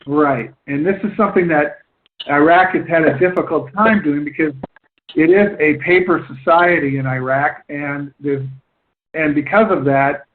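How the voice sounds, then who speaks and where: Man on a tinny phone line, explaining. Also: fairly high pitch. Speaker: conference call host. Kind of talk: explanatory commentary on a conference call.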